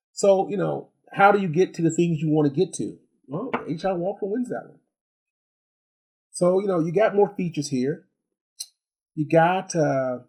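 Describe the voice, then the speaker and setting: A man's voice: on the high side, dark tone, slightly rough. A man giving a casual, unscripted product review as host, rambling a little, repeating phrases for emphasis and using folksy slang.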